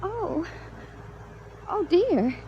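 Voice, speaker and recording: A woman's voice: very high-pitched, sing-song, extremely slow, muffled recording, slight background noise, full character voice. A woman playing a timid, easily flustered young sweetheart who sighs and swoons, in 1950s radio drama style. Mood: distressed. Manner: flustered, sighing.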